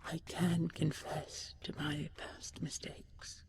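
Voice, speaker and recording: A woman playing an ancient dragon queen in an intimate sleep roleplay, tender, regal and wistful, speaking softly and breathily in slow, stately phrases, often half-whispering. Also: low voice, little pitch movement, gravelly, heavily acted. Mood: resigned, tender. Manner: slow, measured, stately, with lingering pauses.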